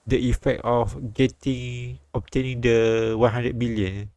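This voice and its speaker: A man streaming a live read-aloud of a visual novel, halting and repeating words as he goes. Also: medium pitch, flat delivery, a little mellow, no echo.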